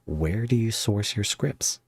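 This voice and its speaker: Male host, very low voice, easygoing questions, dry close mic. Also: breathy edge, no room sound. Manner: relaxed, curious, quick reactions. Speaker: podcast host. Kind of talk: casual interview chat.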